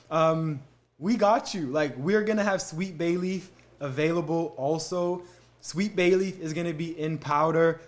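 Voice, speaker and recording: This is a young man hosting a live stream in an easygoing, chatty way. His voice sits a little higher than most men's, and he stops often to read out comments and thank viewers. His speech is loose and casual, with fillers between answers. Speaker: livestream host answering viewer questions.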